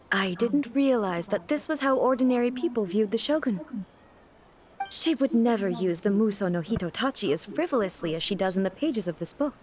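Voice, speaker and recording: A woman voices a regal, thoughtful goddess from a video game. Her voice sits fairly high, and she speaks formally and deliberately, with dignified reserve. Music is clearly heard underneath. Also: natural voice. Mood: calm, intrigued. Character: dignified, composed female deity ruler, thoughtful and reserved. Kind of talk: video game character dialogue.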